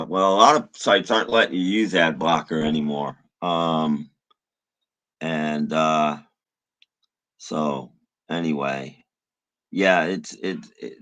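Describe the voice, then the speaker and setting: Man, low-pitched, rough slightly hoarse voice, casual livestream chat, halting, low-key delivery. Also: fairly bright tone. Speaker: live streamer.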